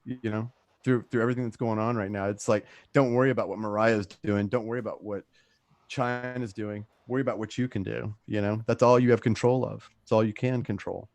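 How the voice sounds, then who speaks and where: A man's voice: on the low side, fairly even intonation, a little fast, a little mellow, no echo. A man co-hosting a casual livestream chat, colloquial and emphatic. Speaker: co-host and actor.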